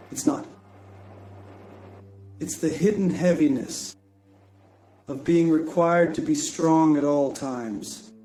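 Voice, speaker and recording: A man delivers spoken-word poetry in a soft-edged, mellow voice, a little higher than most. He is solemn and very unhurried, leaving weight in his pauses, with music underneath. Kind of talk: dramatic poetic monologue. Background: music behind the voice.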